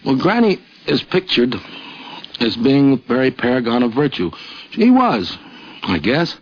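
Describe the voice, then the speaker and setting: A man's voice: mid-range voice, big pitch swings, a little slow, a little hiss or hum. A man reminiscing casually as an interviewee. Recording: muffled vintage radio recording.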